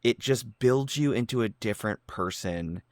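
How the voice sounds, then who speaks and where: Excitable low-voiced male podcast host. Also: normal speed, no room sound, clean studio sound. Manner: enthusiastic, excitable. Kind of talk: casual interview chat. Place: San Diego.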